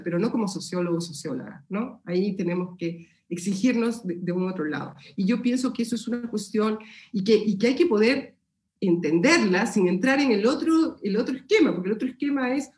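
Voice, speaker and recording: A woman's voice: mid-range voice, a little mellow. Peruvian woman in an echoey room. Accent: Peruvian Spanish. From Peru. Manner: thoughtful, hesitant, many restarts and fillers. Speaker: sociology lecturer answering questions. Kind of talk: academic seminar talk.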